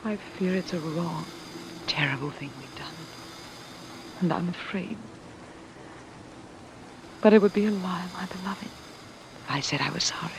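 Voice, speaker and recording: A woman's voice: lowish voice, unhurried, creaky, pauses often. An actress in an old-time radio play, voicing an earnest, sorrowful, fearful woman in love amid war, in a melodramatic manner. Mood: sorrowful, tender. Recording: noisy old radio broadcast recording.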